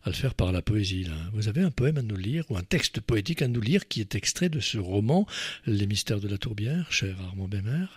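A man hosting a radio interview, asking questions with frequent quick agreements and teasing asides. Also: fairly low pitch, very animated, slightly rough. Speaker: radio host.

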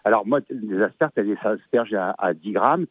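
A man's voice: lowish voice, normal speed, no room sound. Hesitant Belgian man on a tinny phone line. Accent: Belgian French. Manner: hesitant, many fillers and restarts. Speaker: asparagus grower guest. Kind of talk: radio interview, casual chat.

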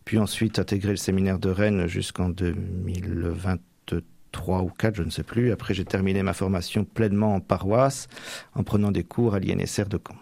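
A middle-aged man from Normandy giving reflective, halting interview answers, often tagging the ends of his phrases. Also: sits low, steady tone, gravelly, mellow, no echo. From Manche, Normandy, France. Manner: hesitant, halting, frequent tags at phrase ends.

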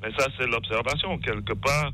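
A man calling in to a radio show, rambling and halting as he talks. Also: mid-range voice, sharp tone. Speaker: caller. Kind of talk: phone-in call.

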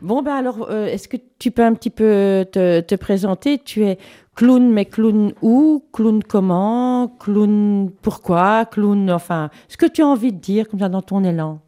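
A woman hosting a radio interview in a studio, cheerful and asking slow, drawn-out questions. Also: medium pitch, mellow. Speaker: radio host.

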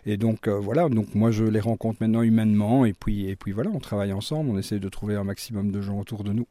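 A man's voice: on the low side, steady tone, fast talker, dark tone, no echo. A middle-aged man with a Belgian French accent, speaking casually as a chef being interviewed. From Brussels, Belgium.

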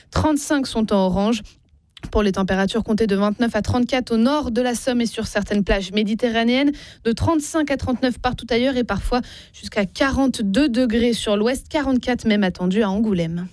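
A woman's voice: fairly high pitch, a little fast, darkish tone, dry recording. A woman presenting a scripted weather bulletin on the radio.

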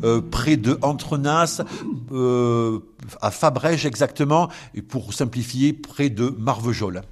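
A man's voice: medium pitch, takes it slowly. A male interviewer asking questions in a hesitant way, with frequent fillers.

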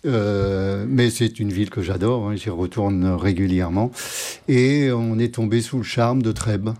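An older man from Normandy talking casually as an interview guest, pausing often to search for his words. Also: fairly low pitch, dark tone. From Normandy, France. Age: older, 60s or 70s. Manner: hesitant, halting, searching for words.

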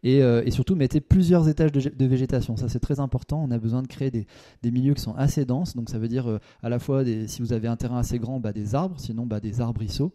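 A man with a very dark, mellow voice explaining things in an instructive way. He gives clear steps and stresses the points that matter most. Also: mid-range voice, steady tone, fast. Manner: advising, instructive. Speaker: expert guest. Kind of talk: explanatory interview answers.

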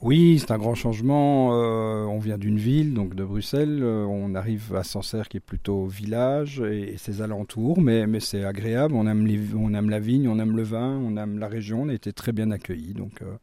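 A man's voice: on the low side, moderate pace, few pauses, dark tone, no room sound. A middle-aged man from Belgium with a Belgian French accent, a chef answering radio interview questions hesitantly, with many fillers and restarts.

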